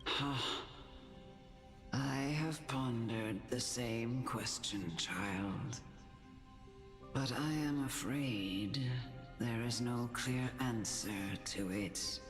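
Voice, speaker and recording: An actress playing a weary, kindly elderly storyteller spirit in a video game, with a grave, halting, breathy delivery. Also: female voice, a bass voice, heavily acted. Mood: pensive. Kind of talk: scripted video game voice acting. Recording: game audio under clear music.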